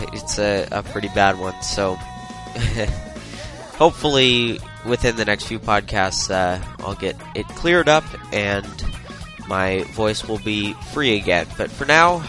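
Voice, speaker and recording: A man's voice: lowish voice, lively intonation, slow pace, music in the background. A man with a head cold hosting a solo tech podcast, talking loosely with lots of uhs. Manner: rambling, many filler uhs. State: congested from a cold. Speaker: podcast host.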